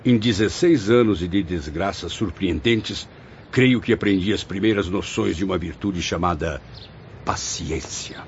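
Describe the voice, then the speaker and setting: A man's voice: low voice, unhurried, a little hiss or hum. An actor playing a weary, patient, courteous male spirit, a learned writer, in formal radio serial style. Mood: calm, considerate. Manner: formal, theatrical, hesitant and considerate. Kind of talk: dramatic radio serial acting. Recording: muffled audio with music underneath.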